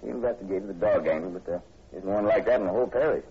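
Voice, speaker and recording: A man's voice: low voice, quick pace. An actor playing a skeptical state police sergeant in a 1940s radio horror drama, folksy and matter-of-fact in his delivery. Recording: muffled, hissy old radio recording.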